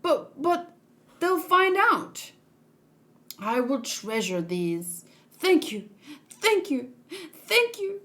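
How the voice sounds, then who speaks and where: A woman's voice: sits high, sing-song, extremely slow, long pauses, a bit bright, pitched above the performer's own voice, heavily acted. A woman voicing a humble old male prisoner from a manga, effusively grateful, with formal, flowery and timid speech. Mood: nervous, grateful.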